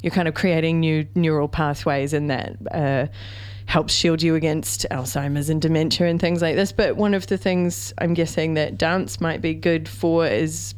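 A woman hosting a radio interview, lively and bantering with her guest. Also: deep voice, moderate pace, few pauses, smooth. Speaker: host.

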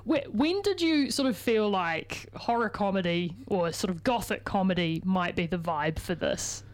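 A woman's voice: mid-range voice, expressive. Upbeat New woman hosting in a studio. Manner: upbeat, curious, quick to laugh along. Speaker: podcast host. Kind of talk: casual interview chat.

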